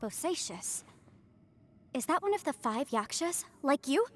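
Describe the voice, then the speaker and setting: A woman's voice: high voice, sing-song, measured pace. A woman voicing a polite, thoughtful young woman in an anime video game, courteous, articulate and inquisitive. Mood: curious, concerned.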